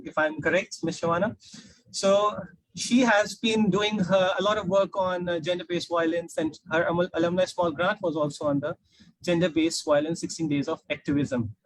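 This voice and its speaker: A man with a Pakistani accent, politely and somewhat haltingly moderating an informal live session as host. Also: sits high, fairly even intonation, dry recording, poor audio. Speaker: panel host, alumni outreach officer.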